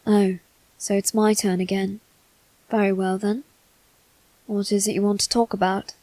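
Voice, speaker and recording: A woman's voice: medium pitch, level tone, moderate pace, no room sound, lightly acted, rough recording. Woman playing a polite, flirtatious demon servant, dry close sound. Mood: calm, wistful. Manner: formal, courteous, softly teasing. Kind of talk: scripted ASMR roleplay to the listener.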